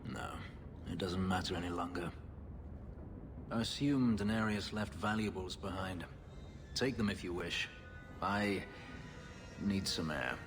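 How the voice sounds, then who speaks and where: An actor playing a brooding, bitter fugitive slave warrior in a video game, with grave, formal, measured speech. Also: male voice, sits low, music in the background.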